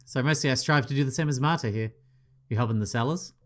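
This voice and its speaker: A man's voice: medium pitch, fast. A man playing through a visual novel, reading every part aloud with playful asides and the odd swear. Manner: playful, swearing asides, voices each character. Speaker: gaming channel host.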